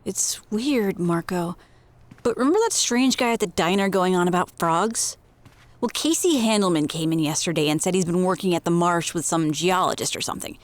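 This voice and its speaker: An actress playing a concerned, determined small-town clinic worker in naturalistic audio drama style. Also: female voice, lowish voice, normal speed, no room sound, lightly acted. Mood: puzzled, urgent.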